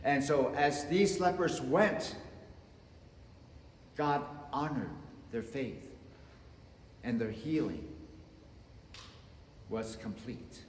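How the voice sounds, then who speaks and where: Slow emphatic male pastor in echoey church. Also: mid-range voice, a little hiss or hum. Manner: slow, emphatic preaching with wide swings in loudness. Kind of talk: sermon. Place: church sanctuary in front of the altar. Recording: echoey church with long reverb.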